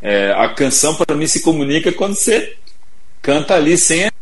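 A man's voice: fairly high pitch, very animated, normal speed, a little hiss or hum. Brazilian man reflecting in an interview. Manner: reflective, storytelling. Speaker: guest singer-songwriter. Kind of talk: casual interview talk over a livestream.

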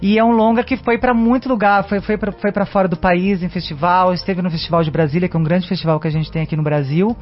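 An actress being interviewed as a guest, talking in a chatty, animated way with lots of fillers. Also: female voice, on the low side, fast talker, few pauses. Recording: dull lo-fi radio sound with faint music.

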